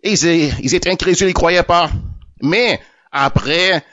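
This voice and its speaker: A man with a fairly high voice gives a religious testimony in a plain, unscripted way, often stumbling and saying words twice before he goes on. The recording is dry and close, with a dull, lo-fi sound. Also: a bit bright, dry recording. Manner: repeats words often, halting restarts.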